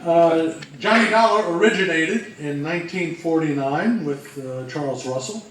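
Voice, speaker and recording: Man, informal presenter, halting phrases, strong echo, far from mic. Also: a little high. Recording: very echoey room, distant mic.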